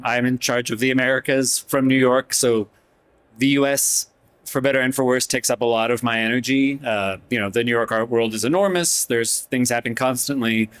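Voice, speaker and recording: A man speaking as a newspaper editor on a panel, relaxed and conversational. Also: medium pitch, clear, resonant tone. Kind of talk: panel discussion. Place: event hall panel.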